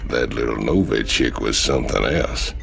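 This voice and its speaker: An actor playing a brash, crude male mercenary who teases his friend in a slow, gravelly drawl. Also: extremely low pitch, faint music behind, exaggerated voice. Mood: teasing. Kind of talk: video game cutscene acting.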